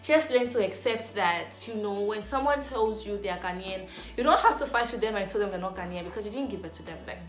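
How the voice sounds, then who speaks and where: A woman's voice: mid-range voice, a bit bright. Young woman vlogger in an echoey room. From Zambia. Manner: chatty, rambling with many fillers and restarts. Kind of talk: casual talk to camera. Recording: echoey room with music behind. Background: clear background music.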